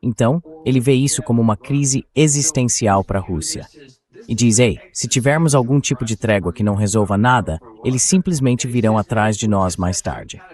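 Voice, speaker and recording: A man's AI-dubbed voice hosting a political interview, explaining points in a measured way and putting questions to a guest. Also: mid-range voice, a little mellow. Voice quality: AI-generated dubbed voice. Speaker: host interviewer. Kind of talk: political interview with read-out quotes.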